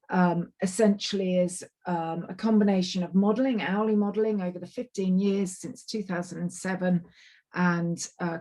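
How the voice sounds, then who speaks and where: A woman with a fairly low voice presents over a video call, speaking through her computer mic. Her voice is a little croaky and worn from travel, and she talks in a chatty way, filling pauses with ums and restarting her sentences. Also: dark tone. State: slightly croaky and tired. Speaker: climate project manager giving a webinar talk. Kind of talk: online presentation, loosely scripted.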